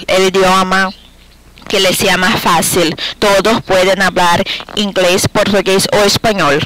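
A man moderating a panel, making measured announcements into a desk microphone in a large conference hall. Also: high-pitched, fairly bright tone. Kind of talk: event announcements.

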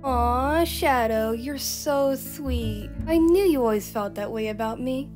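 A woman's voice: high-pitched, takes it slowly, music in the background. A woman voicing a sweet, playful, lovestruck female hedgehog in a comic dub.

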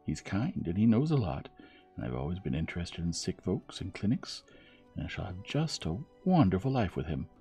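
A man voicing an earnest young woman in an audiobook, artless and emphatic, gentle but stubborn. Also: low-pitched, big pitch swings, normal speed, faint music behind, pitched above the performer's own voice. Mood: indignant.

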